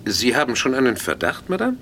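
A pompous older Belgian detective for a comic radio play. His delivery swings widely in pitch and is full of self-important courtesy. Vehicle noise runs clearly behind him. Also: huge pitch swings, a little fast, sharp tone, exaggerated voice. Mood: curious, courteous. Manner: pompous, theatrical, peppers speech with French phrases.

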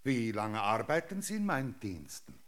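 A brusque, grandiose captain and landowner in a radio drama. His bright, forceful voice swings widely in pitch as he commands and questions in a possessive, domineering way. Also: huge pitch swings, normal speed. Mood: stern, bargaining. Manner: commanding, abrupt, clipped questioning. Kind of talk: German radio drama acting.

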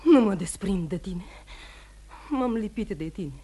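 A woman's voice: medium pitch, expressive, mellow, slightly rough. An actress in a radio play voicing a devoted, strong-willed young woman in love, impulsive and insistent, often repeating her words. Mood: defiant devotion. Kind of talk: old radio theatre acting.

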